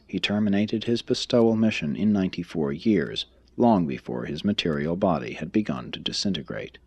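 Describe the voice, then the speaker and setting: A man reading a religious text aloud as scripted narration, in a formal, measured, expository manner. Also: deep voice, vocal fry, a little mellow, slightly rough, no room sound. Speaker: audiobook reader.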